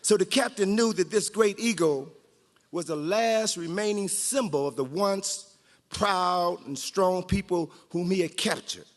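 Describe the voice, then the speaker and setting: A man's voice: high-pitched, unhurried. A man reading a story aloud to a group as a guest storyteller, playful and dramatic, with joking asides. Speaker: guest storyteller, author and historian. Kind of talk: animated read-aloud storytelling to live group. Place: large hall at a training event. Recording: reverberant hall.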